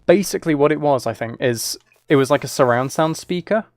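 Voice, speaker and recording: A man's voice: a little high, a little fast, no room sound. A man chatting casually as he hosts a livestream, rambling and thinking aloud. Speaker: streamer.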